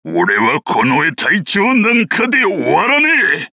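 A thuggish, ambitious guard captain from a video game. The voice is very hard-edged and forceful, with lively swoops in pitch. He talks coarsely, full of boasts and menace. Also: fairly quick, vocal fry, no echo, clean studio sound, exaggerated voice. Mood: menacing, boastful. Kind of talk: video game character voice clips.

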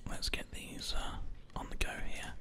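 A gamer commentates on play in a breathy, unvoiced whisper, right up at a binaural mic. The delivery is ASMR, hushed throughout, with keys and mouse clicking behind it. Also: moderate pace. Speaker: host, gamer. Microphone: close binaural microphone. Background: keyboard and mouse clicks.

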